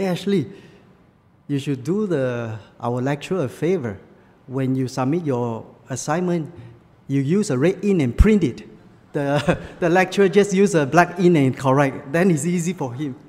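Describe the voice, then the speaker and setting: A man from Malaysia whose first language is Chinese, preaching a sermon with personal stories in halting English full of fillers. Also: mid-range voice, darkish tone, big-room sound. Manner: halting, many fillers, non-native English phrasing.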